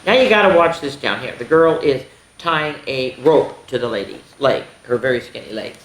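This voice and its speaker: A woman's voice: a bass voice, slight background noise. A woman hosting a children's story time, reading a picture book aloud expressively, with exclamations and asides to the young listeners. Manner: expressive, exclaiming, pausing to comment and ask the listeners. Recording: echoey room.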